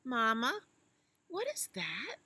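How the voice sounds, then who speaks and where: Storybook baby elephant, played by a woman: curious and eager, high-pitched, full of questions. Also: huge pitch swings, takes it slowly, sharp tone, slightly rough, no room sound, full character voice.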